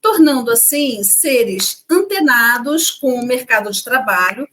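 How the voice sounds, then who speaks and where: A woman's voice: on the high side, animated, a little slow, a bit bright. A woman co-hosting a casual chat, reading parts of a script and talking chattily to viewers. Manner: chatty, addresses audience as "gente". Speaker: co-host.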